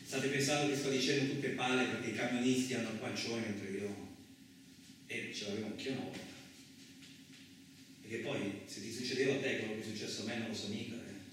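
An actor playing a rough, rambling male truck driver in a colloquial radio theatre monologue, with an earthy, agitated manner. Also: lowish voice, fairly even intonation, brisk, fairly bright tone, lightly acted. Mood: wistful, joking. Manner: earthy, agitated, rhetorical tags, swearing. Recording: echoey distant room, faint noise.

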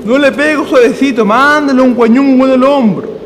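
A very high-voiced, folksy Chilean countryman played by a male actor chats in rustic slang. It is radio drama, with a long reverb and faint noise behind. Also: normal speed. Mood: urging. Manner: colloquial, rustic. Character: chatty, folksy rural farm labourer, male.

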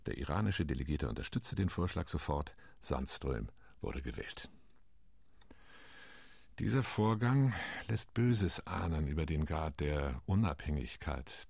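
A gravelly-voiced diplomat. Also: male voice, a bass voice, darkish tone, dry recording, studio quality, exaggerated voice. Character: Latin American UN delegate, measured, indignant diplomat. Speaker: delegate narrating in monologue. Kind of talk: radio play monologue.

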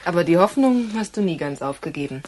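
A woman's voice: on the low side, animated, quick pace, darkish tone, a little hiss or hum, lightly acted. An actress playing a perceptive, teasing woman who is an intimate confidante, in a radio crime drama, with knowing phrasing. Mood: probing.

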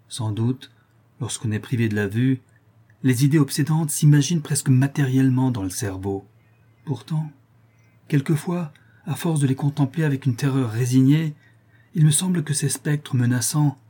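A man voicing a husky, dark blind old murderer. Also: medium pitch, close to an everyday voice. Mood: haunted. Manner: intense, brooding monologue with hushed menace. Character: blind brutal old male murderer, haunted and vengeful. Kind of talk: audiobook reading of a classic novel.

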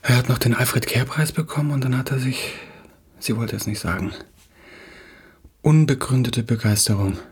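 An actor playing an insecure, wounded playwright in a radio drama, with a halting delivery that stammers when flustered. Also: male voice, medium pitch, husky. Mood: shaken, bitter. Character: insecure, wounded male playwright, husband in a failing marriage. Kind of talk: German radio play acting.

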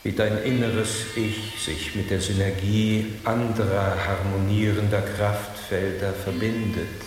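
Esoteric seminar guru male actor: low-voiced, solemn, hypnotic, unhurried, heavy hall reverb, radio play. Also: level tone, background noise, natural voice. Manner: slow, hypnotic, grandiose. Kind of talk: German radio drama acting. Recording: echoey hall with music behind.

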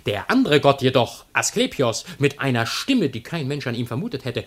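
A cheeky slave from ancient Greek comedy. His mid-pitched voice swings widely up and down. He tells his boastful tales with exuberant, theatrical relish, as in a radio play. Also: very animated, normal speed. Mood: comic, relishing. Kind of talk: classical comedy radio drama, comic storytelling.

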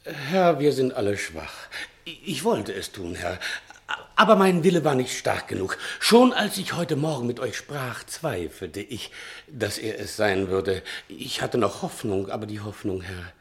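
A man's voice: medium pitch, very animated, unhurried, a bit bright. An actor playing a frightened, shifty manservant in a German radio play, pleading and hesitant, stumbling over his excuses. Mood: anxious confessing. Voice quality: stammering, hesitant. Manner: pleading, servile, theatrical. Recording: vintage 1960 radio studio recording.

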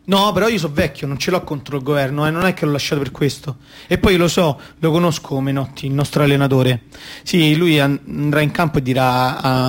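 A man's voice: a little high, close to an everyday voice. A man playing a wistful, conflicted young retired football captain in a radio play, hesitant and justifying himself in colloquial speech. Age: young adult.

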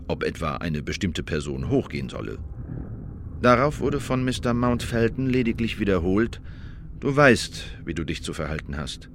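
A male actor in a radio play as an agent giving a deliberate, precise, emphatic witness report in the first person. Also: on the low side, a little hiss or hum. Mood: precise, guarded.

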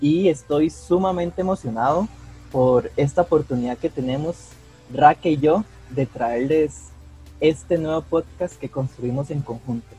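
A man's voice: on the high side, unhurried, dark tone, breathy edge, soft background music, poor audio. A young man with a Costa Rican Spanish accent co-hosting a podcast. He talks earnestly, often repeats words and keeps adding "¿verdad?". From Costa Rica. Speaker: podcast co-host, mycologist. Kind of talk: casual podcast chat.

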